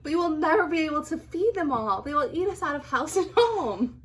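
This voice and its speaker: Woman acting very old woman, high voice, emphatic, fussy but caring, storybook. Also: expressive, a little fast. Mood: dismayed. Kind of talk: reader's theater character acting.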